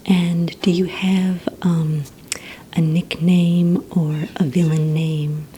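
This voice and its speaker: A woman whispers very slowly in a soft, mellow, low voice, playing a courteous receptionist in an ASMR roleplay. She asks her questions gently and a little hesitantly. A faint rumbling noise sits behind her. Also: little pitch movement. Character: courteous female villain recruitment receptionist.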